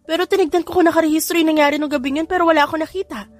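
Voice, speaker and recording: A woman voicing a flustered, apologetic young bride in an audio drama, startled and hurried. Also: high voice, fairly even intonation, soft background music, pitched above the performer's own voice, lightly acted. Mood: shocked.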